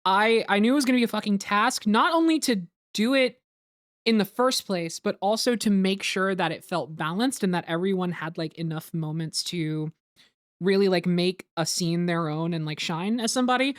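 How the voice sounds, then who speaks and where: A woman hosts a casual group commentary in a dry, close-sounding space. Her pitch is average for a woman, and she rambles loosely, peppering her explanations with "like" and the odd swear. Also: normal speed, no room sound. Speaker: host of a group commentary on a fan dub. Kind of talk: casual chat, explaining production process.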